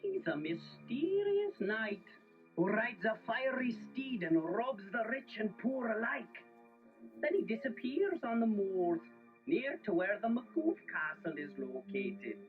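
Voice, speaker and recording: A man's voice: high-pitched, very animated, slow, exaggerated voice. A man playing a cautious Scottish lawyer with a formal, theatrical, warning manner. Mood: ominous warning. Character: cautious, ominous Scottish village lawyer, male. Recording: muffled old story tape, clear music behind.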